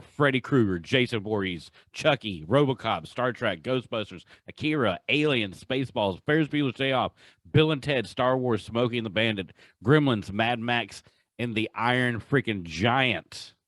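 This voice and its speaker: A man's voice: medium pitch, expressive, moderate pace, fairly bright tone, no echo. A man in his forties chatting casually as a podcast host, gushing and often repeating himself.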